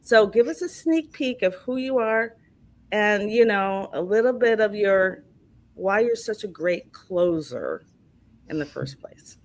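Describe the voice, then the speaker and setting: A woman's voice: mid-range voice, lively intonation, unhurried, a little mellow. A woman hosting an interview, chatty and enthusiastic as she brings her guest in. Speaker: host. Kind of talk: casual interview talk.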